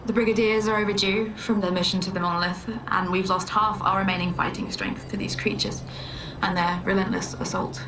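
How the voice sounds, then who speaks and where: A woman's voice: mid-range voice, level tone, brisk, lightly acted. A despairing woman diarist from a video game, played by an actress. She speaks in a subdued, resigned and bitter way, stubbornly carrying on. The voice is somewhat distant, with plain noise around it. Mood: anxious, bitter. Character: despairing woman bookkeeper recording a wartime journal, stubborn. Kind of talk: video game audio diary acting.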